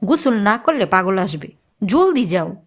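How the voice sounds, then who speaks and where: A woman's voice: mid-range voice, very animated, measured pace, heavy background noise. A woman with a Bangladeshi accent playing an exasperated, nagging mother and wife in a comic cartoon. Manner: nagging, threatening, sharp-tongued.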